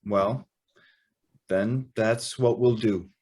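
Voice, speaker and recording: A man's voice: fairly low pitch, fairly even intonation, extremely slow, a little mellow, husky, exaggerated voice. An actor playing an earnest, awestruck young man who also narrates an old-time radio drama. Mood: shy, smitten. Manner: hesitant, stammering in dialogue.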